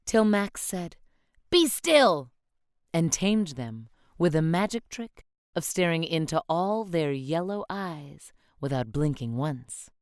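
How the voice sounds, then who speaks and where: A woman reads a picture book aloud in a slow, unhurried rhythm, gentle and expressive. Her voice sits a touch low, and the sound is close and dry with no room at all. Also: smooth, dry recording. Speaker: storybook reader. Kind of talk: read-aloud children's storybook narration.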